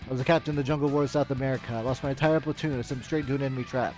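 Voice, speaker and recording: A man's voice: mid-range voice, steady tone, rapid-fire, music in the background, natural voice. A man reading out melodramatic video game ending text as a storyteller. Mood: grave storytelling.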